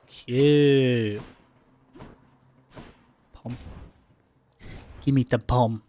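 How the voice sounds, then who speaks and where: A man's voice: medium pitch, steady tone, extremely slow, warm tone. A man giving casual live gameplay commentary to himself in short, muttered, sweary reactions. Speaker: game streamer. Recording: faint background noise.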